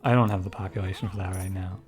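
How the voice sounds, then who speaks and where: A man with a soft, soothing voice giving relaxed, thinking-aloud commentary over a strategy game. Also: fast, faint music behind. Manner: low-key, musing, thinks aloud with frequent restarts. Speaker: gaming YouTuber and streamer. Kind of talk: casual gameplay commentary.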